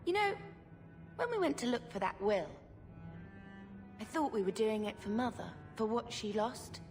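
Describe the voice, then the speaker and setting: An actress playing a gentle, weary and sincere young sister in a fantasy video game. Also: female voice, fairly high pitch, sing-song, normal speed. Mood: earnest. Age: young adult. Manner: sincere, wistful. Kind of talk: dramatic video game voice acting. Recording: long reverb, faint music behind.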